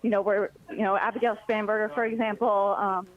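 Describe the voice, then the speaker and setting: A woman's voice: medium pitch, flat delivery, fairly quick. Woman reporting over a muffled phone line. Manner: hesitant, many filler words, recounting events. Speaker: congressional reporter. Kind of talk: live phone report on breaking news.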